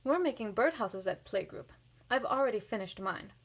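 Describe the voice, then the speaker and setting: A woman's voice: on the high side, moderate pace, noisy background. A woman reading a picture book aloud expressively to children, with casual explanatory asides. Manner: animated, varies voice for characters.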